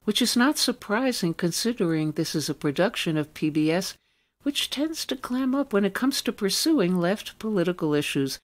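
A woman's voice: mid-range voice, expressive, normal speed. A woman presenting an arts radio programme, reading a scripted introduction with opinionated commentary. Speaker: radio arts show host.

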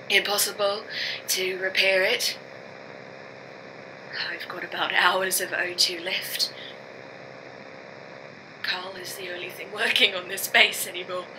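A woman's voice: medium pitch, pushed and bright, exaggerated voice, poor audio. A woman playing a frightened, grieving astronaut engineer in a science fiction audio drama, short of breath and speaking in broken sentences. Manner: halting, broken sentences with repeated words. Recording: faint hum and noise behind.

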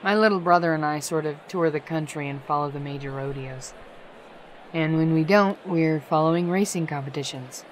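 A woman's voice: low voice, moderate pace, a little hiss or hum, close to an everyday voice, poor audio. A woman voicing a dry, guarded, protective older-sister pony in a fan audio drama. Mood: guarded, skeptical.

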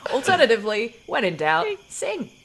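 A woman's voice: medium pitch, sing-song, moderate pace. A young woman playing a tabletop game, chatting casually with the other players. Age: young adult. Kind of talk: casual banter. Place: small room at home. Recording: noticeable background noise and clicks.

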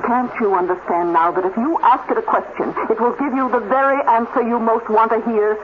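A woman's voice: fairly high pitch, fairly quick, close to an everyday voice. A woman playing a proud, bitter robot scientist, intense and sardonic, in a 1950s radio drama. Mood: cool, sardonic. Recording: tinny, very noisy 1950s radio recording.

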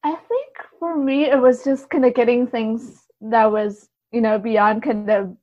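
A woman speaking as an interview guest, casually recounting experiences in a halting, think-aloud way. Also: fairly high pitch, fairly even intonation, no room sound, lo-fi sound. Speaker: podcast guest, writer and translator.

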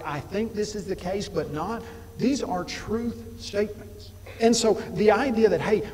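A man's voice: high-pitched, darkish tone. A middle-aged man giving an emphatic, argumentative debate rebuttal at a podium mic in a large auditorium. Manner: emphatic, argumentative, gestures while speaking. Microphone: podium mic on a stand. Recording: long hall reverb.

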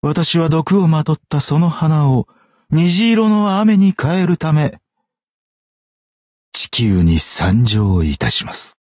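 An actor voicing a suave, poetic masked fox phantom thief in a video game, with flowery, courtly, dramatic phrasing. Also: male voice, a little high, sing-song, takes it slowly, a little mellow, no room sound, clean studio sound, exaggerated voice. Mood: poetic, brooding. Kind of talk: stylized Japanese game character voice acting.

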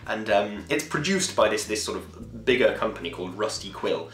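A man's voice: lowish voice, animated, normal speed, a bit bright. Chatty young man in an echoey room. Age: 20s. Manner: chatty, self-deprecating, digressive, enthusiastic. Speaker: vlogger and podcast host. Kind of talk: casual rambling review to camera. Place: small home room, indoors. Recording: echoey room with music behind.

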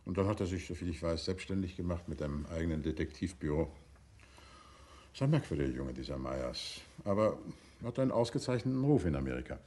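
An actor playing a courteous, composed middle-aged detective in a radio crime drama, speaking in a measured, conversational way. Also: male voice, low voice, expressive, warm tone, breathy edge. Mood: composed, thoughtful.

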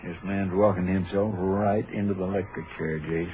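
Man playing country sheriff, plain-spoken, low voice, old radio western, narrowband sound. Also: steady tone, normal speed, close to an everyday voice. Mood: sure, easygoing. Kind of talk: 1950s radio drama acting. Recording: noisy, narrow-band 1950 radio recording.

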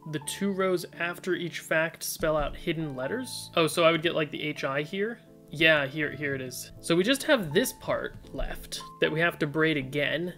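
A man's voice: fairly high pitch, sharp tone. Young man, casual puzzle streamer, tentative thinking aloud, faint music behind. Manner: reads chat suggestions aloud, tentative musing. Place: indoor room at desk.

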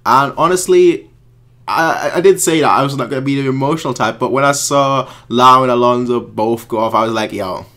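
A man's voice: medium pitch, brisk, a bit bright. Rambling young man vlogging from his bedroom. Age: young adult. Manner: rambling, repeats words and restarts often. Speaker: football fan vlogger. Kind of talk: casual post-match review vlog. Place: small bedroom, indoors. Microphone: webcam at desk.

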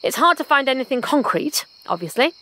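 An actress playing a curious, adventurous journalist in an audio drama. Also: female voice, high-pitched, sing-song, brisk, bright, forceful tone, heavily acted. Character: Eleanor Agnew, curious adventurous female journalist.

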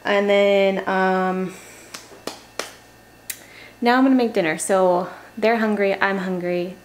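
A young woman vlogging casually in her home kitchen, chatty and laughing at her own slips, recorded by a camera on the counter. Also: medium pitch. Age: young adult. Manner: chatty, self-correcting, laughs at herself.

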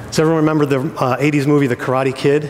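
A man's voice: on the high side, level tone, rapid-fire, a little hiss or hum. A middle-aged American man preaching a conversational, informal sermon with joking asides and frequent check-ins with listeners. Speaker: pastor. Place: on stage in a church auditorium. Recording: reverberant hall sound.

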